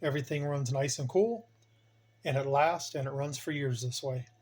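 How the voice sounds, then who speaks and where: A man's voice: medium pitch, moderate pace, darkish tone, breathy edge. A man giving a casual hands-on demonstration as a hobbyist host, plain-spoken and colloquial, with emphatic turns of phrase.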